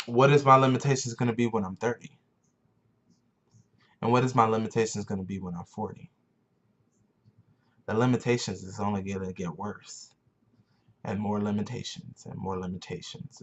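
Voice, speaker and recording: A man's voice: fairly low pitch, a bit bright, muffled recording. A man in his twenties giving an earnest motivational talk to his vlog audience, often repeating phrases for emphasis. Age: 20s. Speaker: vlogger.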